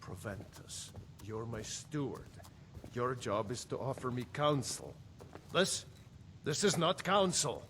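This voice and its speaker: An actor playing a stern, commanding city ruler in a fantasy video game, blunt and authoritative. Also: male voice, medium pitch, expressive, slow pace, a bit bright, a little hiss or hum. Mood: exasperated. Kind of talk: scripted video game dialogue.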